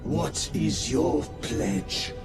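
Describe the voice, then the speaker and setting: Grave military chaplain, slow ceremonial delivery, roomy echo, loud dramatic game score. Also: male voice, medium pitch, lively intonation, recorded from a distance, over loud music. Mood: ritual, commanding. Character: solemn military chaplain overseeing a warrior's penance and oath. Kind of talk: dramatic video game cutscene acting.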